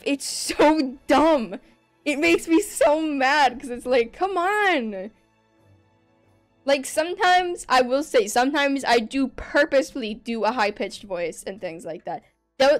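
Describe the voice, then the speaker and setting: A young adult streamer chatting casually over gameplay in their bedroom, rambling and laughing often. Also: high voice. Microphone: desk microphone.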